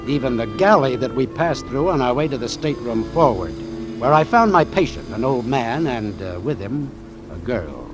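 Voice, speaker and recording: An actor playing a brisk, authoritative ship's doctor in an old-time radio drama, matter-of-fact and clipped. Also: male voice, medium pitch, sing-song, over music, lightly acted. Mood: measured, wry. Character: brisk, authoritative, dry-witted male ship's doctor, narrating.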